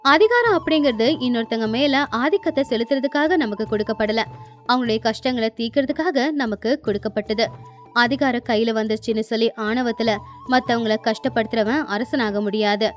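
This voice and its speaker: A woman's voice: fairly high pitch, a little fast. A woman narrating a scripted moral story expressively. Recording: music behind the voice.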